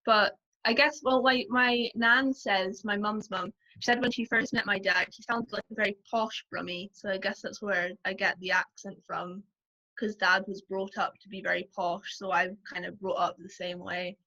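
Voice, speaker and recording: Young woman, posh Scottish-English accent, bright tone, chatty guest. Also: mid-range voice, fairly even intonation, muffled recording. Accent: mild posh English-Scottish mix. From Scotland, Aberdeenshire. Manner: chatty, frequent "like" and quick laughs. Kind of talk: casual conversation.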